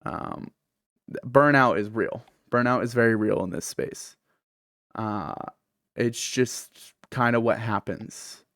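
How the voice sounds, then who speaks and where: A man's voice: mid-range voice, slow, a bit bright, no room sound, studio quality. A man with a lisp who says th as f, hosting a casual solo podcast in a candid, self-deprecating, rambling way. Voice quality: th pronounced as f. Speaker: podcast host and streamer.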